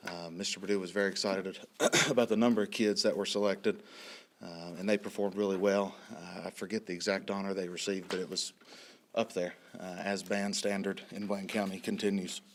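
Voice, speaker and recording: A man in his thirties, a high school principal, giving a report at a school board meeting while a little hoarse from a cold, clearing his throat often. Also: fairly even intonation, normal speed, a bit bright, a little hiss or hum. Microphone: podium microphone.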